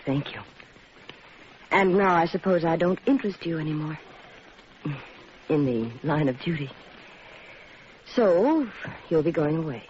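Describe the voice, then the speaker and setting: Flirtatious society widow, arch and poised, muffled 1940s radio drama with light noise. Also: female voice, deep voice, animated, a little slow, long pauses, a little mellow. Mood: guarded, cool. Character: coy, cultured, flirtatious brunette widow. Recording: muffled, noisy vintage radio recording.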